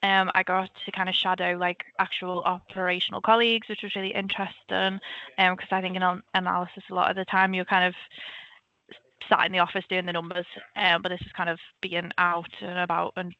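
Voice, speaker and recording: A young woman with a Liverpool accent talks through her career in a chatty, informal way, hurrying a little to fit it all in. Her voice is bright and forward. The sound is dry and a touch muffled, like online call audio. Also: fairly low pitch, flat delivery, fairly quick, no echo. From Liverpool, England. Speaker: government analyst giving a career talk. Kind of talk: casual webinar presentation.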